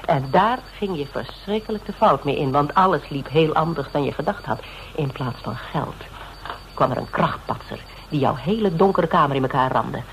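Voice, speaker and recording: A shrewd woman interrogator with a low voice. She needles and mocks in a conversational radio-drama delivery. The recording has a faint layer of noise. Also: animated, normal speed, rough recording. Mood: accusing, probing. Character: shrewd, mocking female interrogator pressing a photographer.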